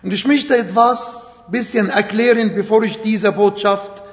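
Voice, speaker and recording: Ein Mann mittleren Alters mit Arabisch als Muttersprache und arabischem Akzent, der langsam und nachdrücklich predigt, in etwas holprigem Deutsch. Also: male voice, sits high, fairly even intonation. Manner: slow, emphatic, non-native German phrasing. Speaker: preacher. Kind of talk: sermon. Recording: echoey hall, dull sound.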